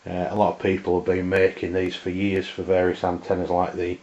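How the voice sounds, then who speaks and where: Low, monotone Englishman, halting delivery. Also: lo-fi sound. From England. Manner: hesitant, halting, plain-spoken. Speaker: amateur radio reviewer. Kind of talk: unscripted product review demonstration.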